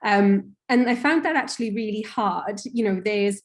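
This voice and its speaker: A woman's voice: mid-range voice, moderate pace. A young woman from Wales talks through a career panel on a video call. Her delivery is loose and chatty, dotted with ums and "sort of". She sounds like a researcher sharing her story informally. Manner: chatty, many fillers and hedging "sort of". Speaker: panel speaker, cancer researcher.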